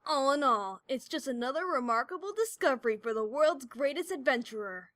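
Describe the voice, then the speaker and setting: A woman's voice: sits high, lively intonation, a little fast, a bit bright, no echo, studio quality. A woman voicing a brash, stubborn female pegasus adventurer in an audio drama, with a cocky, defensive delivery. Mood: self-satisfied. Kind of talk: cartoon-like audio drama character voice.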